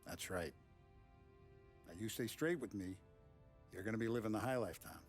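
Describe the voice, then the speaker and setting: An actor playing a calm, commanding middle-aged mob boss in a game cutscene, measured and quietly menacing. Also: male voice, lowish voice, soft background music, close to an everyday voice. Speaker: crime boss. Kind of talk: video game cutscene acting.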